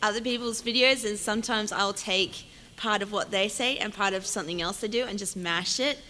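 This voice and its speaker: Female panelist, bright tone, casual storytelling, recorded in a reverberant hall with some noise. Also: mid-range voice, brisk. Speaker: convention panelist, fan audio drama performer. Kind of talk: casual panel chat, telling anecdotes. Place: large hall at a fan convention. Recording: echoey noisy hall, PA sound.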